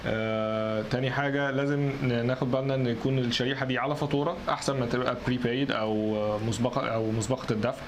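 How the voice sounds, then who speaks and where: A man with an Egyptian accent giving informal technical instructions as a co-presenter, often stammering and restarting his words. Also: mid-range voice. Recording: noticeable ambient background noise.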